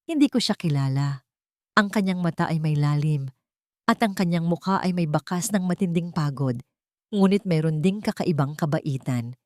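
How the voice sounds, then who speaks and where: A woman tells a dramatic first-person story in a low, steady voice. Her delivery is measured and somber. The recording is close and dry, with no room around it. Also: no room sound. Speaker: audiobook narrator.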